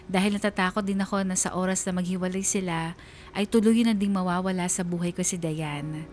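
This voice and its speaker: An actress playing a wistful young woman who narrates a confession in a measured, confiding radio drama style. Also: on the low side, steady tone, a little mellow, natural voice. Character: wistful young woman confessing secret love for best friend's girlfriend. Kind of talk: first-person radio drama letter narration.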